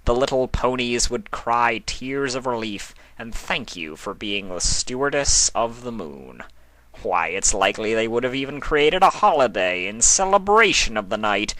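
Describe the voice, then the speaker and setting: Man doing a theatrical, bright-voiced mischievous chaos creature. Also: medium pitch, expressive, moderate pace, dry recording, voice pushed up, rough recording. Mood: smug lecturing. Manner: mocking, theatrical lecturing.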